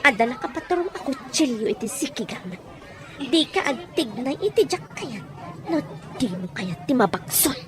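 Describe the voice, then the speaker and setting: Woman acting as high-voiced, harsh domineering madam. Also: lively intonation, normal speed, a crowd in the background. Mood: menacing. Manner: commanding, sharp, scornful. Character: harsh, domineering madam interrogating and threatening her captive. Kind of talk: Ilocano audio drama acting.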